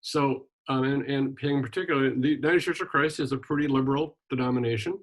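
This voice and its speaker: Older man telling his story, relaxed. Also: mid-range voice, quick pace. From Wisconsin, USA. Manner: relaxed storytelling with frequent ums and restarts. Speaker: interview guest, retired minister and journalist. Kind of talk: casual conversational interview.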